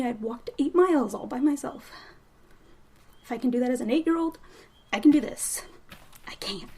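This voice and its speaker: A woman's voice: high-pitched, darkish tone, breathy edge, heavily acted. An actress playing a shaken, stubborn young woman lost alone, muttering and whispering to herself in an audio drama. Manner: muttering to herself, self-scolding, breaking into whispers.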